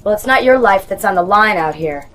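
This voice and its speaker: A woman's voice: medium pitch, lively intonation, fairly quick, fairly bright tone. An actress playing a brash, impatient young female commando in a video game, sassy and defiant over a field transmission. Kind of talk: dramatic video game cutscene acting.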